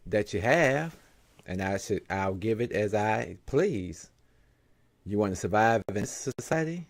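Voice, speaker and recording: A man hosting a show, giving impassioned commentary with emphatic rhetorical questions. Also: lowish voice. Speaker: show host.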